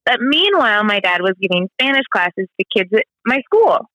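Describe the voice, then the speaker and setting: Chatty woman in her thirties, bright voice. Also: medium pitch, very animated, normal speed, no echo, muffled recording. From American Midwest. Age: 30s. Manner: candid, chatty, lots of "like" and "you know". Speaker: guest. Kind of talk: personal conversation.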